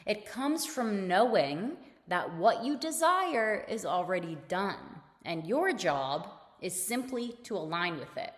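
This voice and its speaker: A woman hosting a solo motivational podcast, talking casually and repeating her key points, her voice slightly raspy. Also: mid-range voice, long reverb tail. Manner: casual and conversational, repeats key points, laughs between phrases. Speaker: podcast host.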